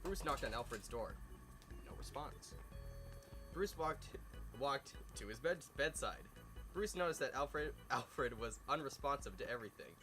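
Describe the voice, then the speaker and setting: A man's voice: mid-range voice, lively intonation, unhurried. Man reading aloud over music. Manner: stumbles over words while reading. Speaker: host reading fan fiction aloud. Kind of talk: reading a story aloud with joking asides. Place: outdoors by a fireside at night.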